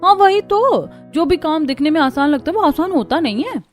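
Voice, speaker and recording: A woman's voice: high-pitched, expressive, normal speed, faint music behind, voice pushed up. A woman voicing a selfish, evasive housewife neighbour in a cartoon story, emphatic and dismissive.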